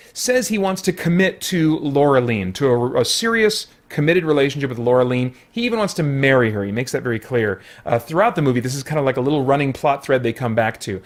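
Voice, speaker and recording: Higher-pitched man reviewing casually. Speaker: movie reviewer. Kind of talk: casual unscripted review commentary.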